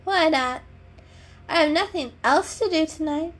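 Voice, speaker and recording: A woman's voice: sits high, a little slow, a bit bright, voice pushed up. A woman voicing a haughty, scornful female cat in a storybook reading, with a snooty, scoffing way of talking. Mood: bored, dismissive.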